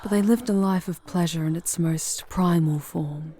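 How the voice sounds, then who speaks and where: A woman playing a charming, seductive demon guide through hell in an intimate ASMR roleplay, with theatrical, formal phrasing and breathy delivery that often drops into whispers. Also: on the low side, moderate pace, dark tone. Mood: sultry, musing. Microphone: close ASMR microphone.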